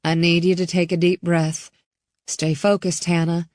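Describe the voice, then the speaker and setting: A woman playing a steady cop mother. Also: fairly low pitch, normal speed, dry recording, clean studio sound, close to an everyday voice. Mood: urgent calm. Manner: urgent, forcing calm.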